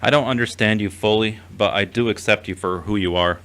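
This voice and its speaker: A man playing a gentle, reserved, long-suffering character in an audio drama, with a measured, formal manner. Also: lowish voice. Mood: sympathetic, solemn. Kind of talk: scripted audio drama acting.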